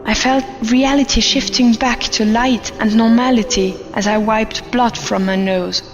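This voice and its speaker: A woman playing a haunted, fearful office worker, narrating an audio horror drama in a grave, deliberate way. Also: a little high, steady tone. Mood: haunted dread. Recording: long reverb, dull sound, music behind.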